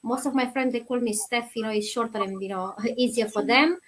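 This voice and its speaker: A woman's voice: on the high side, fairly quick. Chatty Romanian-born woman guest. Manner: friendly, chatty, many fillers. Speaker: guest, nursery teacher. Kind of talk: interview answers.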